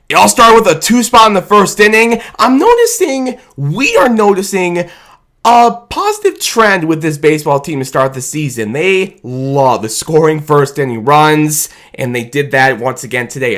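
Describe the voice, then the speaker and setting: A man with a hoarse, rough voice, hyping up his team's win in a fan reaction vlog, shouting and drawling his cheers. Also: a little high. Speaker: sports fan video host.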